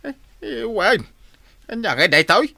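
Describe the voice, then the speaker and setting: A man's voice: high-pitched, very animated, measured pace, gravelly, sharp tone, full character voice. A man playing a good-humored, grumbling husband in an Ilocano radio drama, with an Ilocano accent and hesitant, exclamatory delivery. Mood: bemused.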